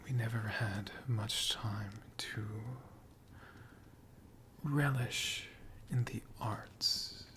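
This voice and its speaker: A man's voice: on the low side, background noise. A man voicing a friendly, humble, bookish dragon in a whispered ASMR storytelling roleplay. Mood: hushed, friendly. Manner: soft whispering, slow and unhurried.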